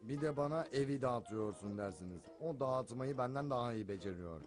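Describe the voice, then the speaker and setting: A man's voice: medium pitch, lively intonation, a little fast, lightly acted. Cartoon dub: male actor as sarcastic, smug house cat, mid-range voice, theatrical delivery, music underneath. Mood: indignant. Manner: wry, self-assured, theatrical.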